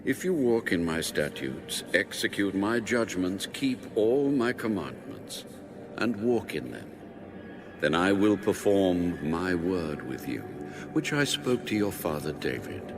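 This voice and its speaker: A man's voice: low-pitched, very animated, a little slow, exaggerated voice. An actor playing God, solemnly speaking to a king in a dramatized audio Bible. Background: music.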